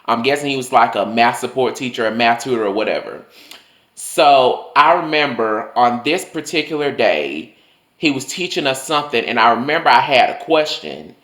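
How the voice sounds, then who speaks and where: A young man telling personal stories in a loose, chatty way, his voice swinging higher and lower as he talks. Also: expressive, moderate pace, a bit bright, echoey room. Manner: chatty, loose, full of "like" and swearing. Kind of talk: casual storytelling monologue.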